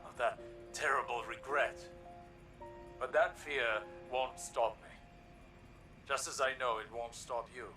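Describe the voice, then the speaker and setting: Grave scholarly warlock over music. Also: male voice, mid-range voice, fairly even intonation, pushed and bright. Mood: grave, earnest. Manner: measured, earnest, formal. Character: grave, thoughtful male warlock scholar mentor. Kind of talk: scripted video game dialogue.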